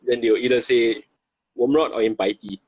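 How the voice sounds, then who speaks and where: A man from Singapore with a Singaporean English accent, chatting as a guest, halting and repeating words. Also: mid-range voice, fairly even intonation, a little mellow, highs cut off. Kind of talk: casual chat.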